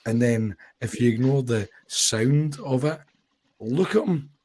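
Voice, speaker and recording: A man's voice: mid-range voice, measured pace, a little mellow, dry recording. A man on a stream panel, talking in a sarcastic, mocking tone. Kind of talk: sarcastic commentary on a live stream.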